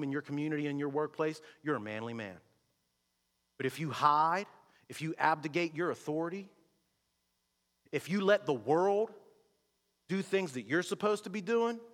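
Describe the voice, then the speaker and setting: Male pastor, bright and forceful, emphatic sermon delivery in a church with a long reverb. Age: adult with a wife and kids. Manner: emphatic preaching, swings from shouting to low and quiet. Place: church sanctuary. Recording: long reverb of a large hall.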